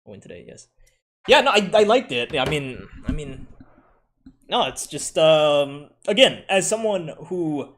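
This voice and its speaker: A man's voice: on the high side, big pitch swings, measured pace, a bit bright, a little hiss or hum. A man with an American accent, raised in the Philippines, casually ranking anime in a rambling way, full of fillers and self-corrections. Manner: laughs often while talking.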